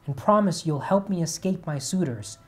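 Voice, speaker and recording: A storyteller voicing a frantic young female wood nymph who begs and shouts to be left free. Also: mid-range voice, expressive, a little slow, faint music behind, voice pushed up, lightly acted. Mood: desperate.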